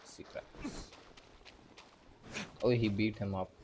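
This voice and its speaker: Low-voiced man muttering over a game. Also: steady tone, takes it slowly, noisy background. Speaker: gamer commentating on play. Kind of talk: casual gameplay commentary. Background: game music.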